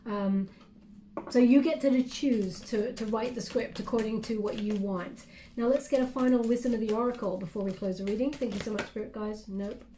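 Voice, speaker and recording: A woman's voice: on the high side, fairly even intonation, normal speed, warm tone, echoey room. A woman giving a chatty tarot card reading at a table indoors, her voice scratchy from illness, often adding "okay?". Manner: chatty, reassuring, tag questions like okay. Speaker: tarot reader.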